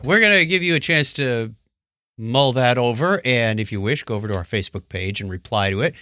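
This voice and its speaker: A man's voice: mid-range voice, animated, fairly quick, sharp tone, no echo. A man co-hosting a podcast in a casual, chatty style. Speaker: podcast co-host.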